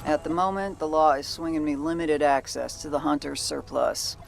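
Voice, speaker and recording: A gruff, gravelly bounty hunter from a video game. She is weary and wry, with dry folksy sarcasm and a creaky low voice. Faint music sits behind her. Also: normal speed. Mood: businesslike, wry. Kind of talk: video game journal narration and dialogue.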